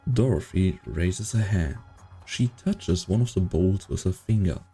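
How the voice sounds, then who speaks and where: A man's voice: low-pitched, measured pace, dark tone, breathy edge, soft background music, full character voice. A man playing a solemn storyteller, giving scripted dramatic narration for a science-fiction game dub. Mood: solemn, suspenseful.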